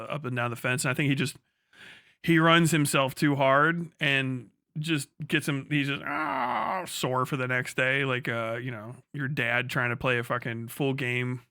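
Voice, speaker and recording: Bright-voiced man chatting on a live stream. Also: medium pitch, no room sound, studio quality. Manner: rambling, sweary, jokey. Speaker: livestreamer. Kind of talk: casual live-stream chat with viewers.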